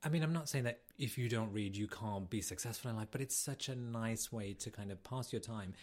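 A British man co-hosting a podcast in casual chat, talking in a chatty, animated, self-deprecating way. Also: on the low side, a little fast. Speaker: podcast co-host.

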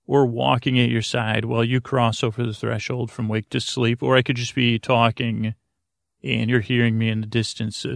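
A middle-aged man delivers a meandering bedtime monologue as a podcast host, halting often and looping back on himself. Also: mid-range voice, vocal fry, no room sound, studio quality. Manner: hesitant, halting, trails off and loops back.

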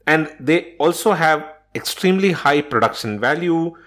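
A man's voice: on the high side, animated, moderate pace, fairly bright tone. A man presenting a scripted solo podcast as its host, speaking in an instructional way directly to listeners.

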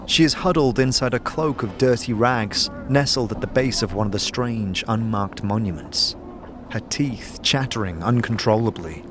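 A man's voice: on the low side, moderate pace, noisy background. A man reading scripted, dramatic narration as the narrator of an audio drama.

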